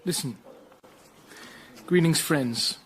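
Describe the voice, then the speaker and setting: An actor playing a firm, secretive, pious Tudor court official in a radio drama. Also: male voice, fairly high pitch, drawn-out words, slight background noise, rough recording. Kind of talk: BBC radio drama acting.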